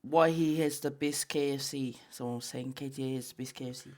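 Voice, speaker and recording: A man's voice: medium pitch, steady tone, fairly quick, darkish tone. A man with a New Zealand accent co-hosting a casual podcast, chatting informally in slang and reading out viewer comments. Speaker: podcast co-host.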